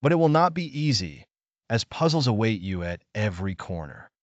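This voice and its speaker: A man's voice: fairly low pitch, sing-song, moderate pace, no echo, highs cut off. Regal old guy from a fairytale kingdom, voiced by a man, formal and portentous, dry audio. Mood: grave welcome.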